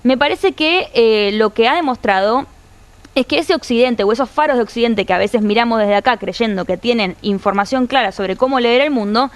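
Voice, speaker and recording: A woman's voice: fairly high pitch, normal speed. A woman with an Argentine accent arguing her point at length as a panelist on a political talk show. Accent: Argentine Spanish. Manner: fluent, argumentative, long sentences.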